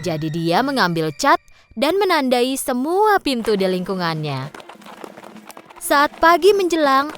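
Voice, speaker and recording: Female narrator for children's stories, high and lively, faint music underneath. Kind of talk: scripted children's storybook narration. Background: background music.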